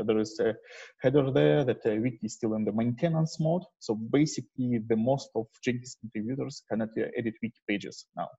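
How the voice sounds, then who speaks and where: Male meetup presenter, mellow and husky, hesitant informal delivery, lo-fi call audio. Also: mid-range voice. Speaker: presenter at an online developer meetup. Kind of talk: informal technical presentation with slides. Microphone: video call microphone. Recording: dull, muffled lo-fi online call.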